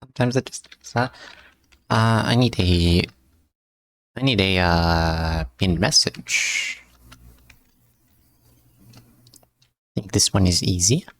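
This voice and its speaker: A man's voice: low voice, takes it slowly, long pauses, a bit bright, no echo. Stammering man chatting with stream, dry sound. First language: not English. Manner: stumbles and repeats words, answers chat with joking self-mockery. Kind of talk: casual live-stream chat.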